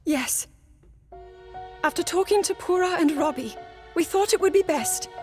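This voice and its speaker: Dutiful young princess, very high, slow, formal, music under. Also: female voice, a little mellow. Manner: earnest, formal, polite. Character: earnest young human princess, dutiful and worried. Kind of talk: video game cutscene acting. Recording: game score underneath.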